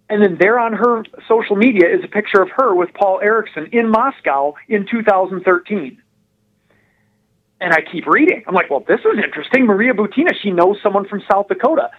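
A high-pitched male voice comes through a dull, narrow telephone line. He is a guest on a talk show, telling his story conversationally and explaining details with animated, precise emphasis. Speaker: political blogger, phone-in guest.

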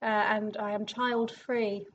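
A woman of thirty hosting a theatre podcast in a rehearsal room, chatty and quick to laugh. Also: mid-range voice, little pitch movement, lo-fi sound, a little hiss or hum. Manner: chatty and giggly. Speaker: podcast host and theatre company director. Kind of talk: casual group interview.

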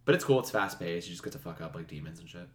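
A deep-pitched man chats quickly as a gaming podcast co-host. He is casual and slangy, swearing freely with laid-back enthusiasm. The room adds a clear echo. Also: animated. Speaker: video game podcast co-host. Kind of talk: casual chat with profanity. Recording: roomy, echoing sound.

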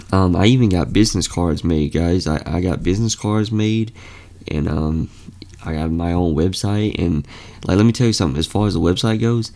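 Earnest young man with a gravelly low voice. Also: mellow. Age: young adult. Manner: earnest, rambling with frequent fillers and restarts. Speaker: podcast host. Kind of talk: casual motivational podcast talk.

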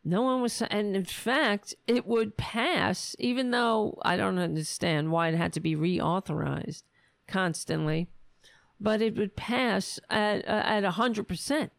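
A woman with a gravelly, fraying voice works through an angry, profane rant as host of her own commentary show, taking her time over each point. She is plainly losing her voice, and the sound is close and dry. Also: medium pitch, unhurried, no echo. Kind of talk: solo political commentary show.

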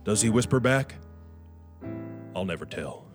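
A man's voice: on the low side, a little slow. Folksy cowboy drawl over guitar music, acted by a man. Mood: wry. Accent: American cowboy drawl. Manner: rambling, deadpan. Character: folksy old drifting cowboy storyteller, wry and rambling. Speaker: host of a comedy sketch show. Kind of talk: folksy comic monologue linking sketches. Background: guitar and harmonica music.

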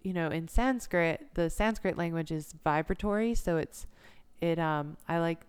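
A woman's voice: fairly low pitch, normal speed, clear, resonant tone. An American woman of about thirty talking casually and reflectively as an interview guest, in an informal way. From United States. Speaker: yoga instructor, interview guest.

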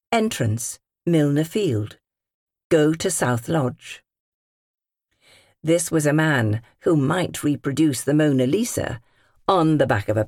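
A woman with a British accent reading literary fiction as an audiobook narrator, measured and carefully phrased. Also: deep voice, darkish tone, dry recording, studio quality. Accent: British English. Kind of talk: scripted literary narration in character.

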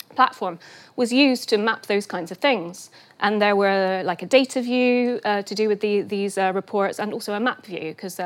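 A woman giving a lunchtime lecture, a little croaky from a cold, explaining terms slowly with fillers and restarts. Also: mid-range voice. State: getting over a cold, a bit croaky. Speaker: guest lecturer. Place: lecture room.

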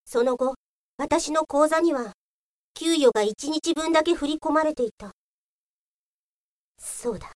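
A woman playing an indignant, sharp-tongued policewoman in a comic audio drama, given to exasperated retorts. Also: sits high, unhurried, pauses often, dry recording, clean studio sound. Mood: solemn. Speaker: voice actor.

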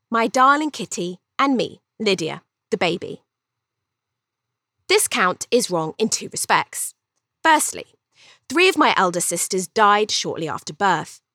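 A woman's voice: mid-range voice, a bit bright, dry recording, studio quality, full character voice. A woman voicing a cheeky, vain young Regency Englishwoman telling her own story in an arch, chatty, theatrical way for an audiobook. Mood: boastful, gossipy. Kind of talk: first-person audiobook storytelling.

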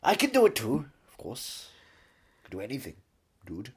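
Husky, playful Welsh man. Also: mid-range voice, normal speed. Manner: playful, deadpan boasting, silly wordplay. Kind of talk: absurd comic banter.

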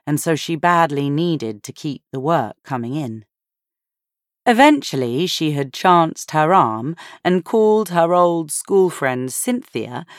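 A woman narrating a novel in a measured, expressive storytelling manner. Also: sits low, dry recording, studio quality. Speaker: audiobook narrator.

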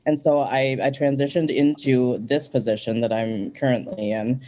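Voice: mid-range voice, steady tone, moderate pace, dark tone, low-quality recording. A guest in their forties from Minnesota, talking earnestly and reflectively in a casual interview, with frequent ums.

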